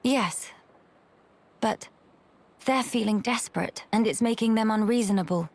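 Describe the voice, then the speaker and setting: A woman's voice: a little high, measured pace, darkish tone. A woman playing a dignified, earnest young noblewoman in a video game, with formal and precise speech. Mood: earnest, grave.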